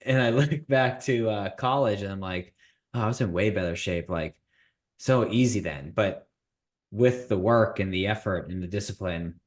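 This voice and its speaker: A man's voice: lowish voice, moderate pace. A man in his thirties hosting a business podcast, chatting casually with candid, self-deprecating humor. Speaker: podcast host.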